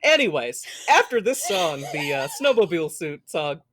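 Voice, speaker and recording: An American man co-hosts a casual chat show. His pitch swings widely and his voice is bright, in a dry recording. He is jokey and expressive and swears easily. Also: normal speed, no echo. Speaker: podcast co-host.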